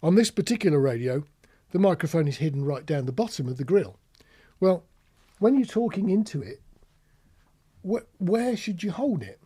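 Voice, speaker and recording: A British man gives an informal hands-on lesson in a plain-spoken, explanatory way. His voice sits fairly high and is lively in pitch, with a husky grain. He talks like an instructor who illustrates points with anecdotes. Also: normal speed. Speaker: marine radio instructor. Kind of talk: informal spoken tutorial with practical demonstrations.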